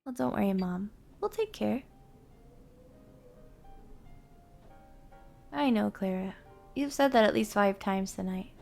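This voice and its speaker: A woman acting an affectionate, playful young girlfriend in an intimate audio roleplay, speaking softly and chattily. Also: mid-range voice, expressive, normal speed, vocal fry, faint music behind. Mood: reassuring, affectionate. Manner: soft, tender, chatty with teasing asides.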